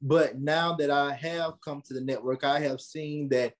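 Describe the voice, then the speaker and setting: A young American man giving conversational interview answers, earnest and animated, repeating phrases for emphasis. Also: on the high side, measured pace. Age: young adult. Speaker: podcast host and creative being interviewed.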